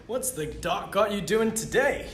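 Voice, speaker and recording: A man's voice: sits high, animated, measured pace, a bit bright. A man voicing a foul-mouthed, taunting imaginary teenage tormentor in a script table read, brash and belittling. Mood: taunting, scornful. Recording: roomy recording with background noise.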